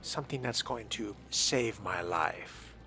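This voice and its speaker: A man's voice: lowish voice, slow pace, bright, forceful tone, soft background music. A man voicing a wry, curious new vampire fledgling in role-play game dialogue, dry and questioning.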